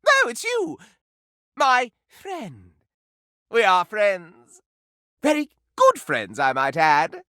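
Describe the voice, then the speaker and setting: Flamboyant, wildly swooping candelabra dandy. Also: male voice, sits high, huge pitch swings, slow, pauses often, pushed and bright, no room sound, clean studio sound, full character voice. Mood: smug, chummy. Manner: florid, campy, melodramatic, affected continental airs. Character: flamboyant, gossipy, theatrical male candelabra dandy. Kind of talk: theatrical video game character acting.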